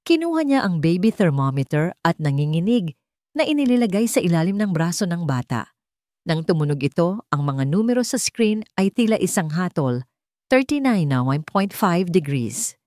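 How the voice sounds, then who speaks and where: Low-pitched Filipino woman narrating, mellow and close. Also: sing-song, normal speed, no room sound. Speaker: story narrator. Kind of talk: scripted dramatic audio story narration.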